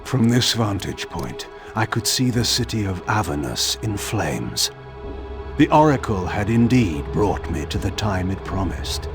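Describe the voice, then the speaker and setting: A man playing a proud, ancient vampire lord who narrates a video game in measured, eloquent and sardonic speech. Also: on the low side, sing-song, music in the background. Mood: brooding, resolute. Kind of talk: theatrical scripted game narration.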